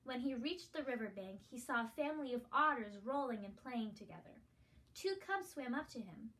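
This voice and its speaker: A woman's voice: fairly high pitch, big pitch swings, moderate pace, bright, forceful tone, slight background noise, low-quality recording. A female guest reader reading a children's picture book aloud.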